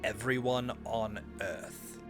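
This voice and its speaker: A man voicing a dry, blunt female scientist with sarcastic deadpan delivery in a parody game dub. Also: on the low side, slow pace, over music. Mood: deadpan, grave.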